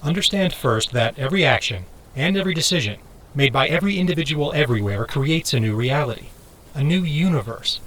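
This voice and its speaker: A self-important but earnest male physicist with a fairly high voice. He is articulate and explanatory, in the manner of an audio drama. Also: lively intonation, brisk, fairly bright tone, poor audio. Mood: earnest, instructive.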